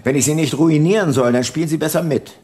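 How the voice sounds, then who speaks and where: An actor playing a hard-nosed, cunning older private detective in a radio drama, terse and dry. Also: male voice, fairly high pitch, quick pace, darkish tone. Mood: menacing, pressing. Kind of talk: radio drama narration and dialogue.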